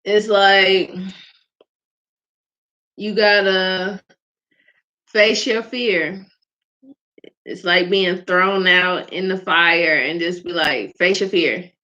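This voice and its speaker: Woman, soft small voice, chatty and laughing, low-ish pitch, dull lo-fi recording. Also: fairly even intonation, extremely slow, pauses often. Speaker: host of a live faith vlog. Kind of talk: casual spoken reflection. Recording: dull lo-fi sound.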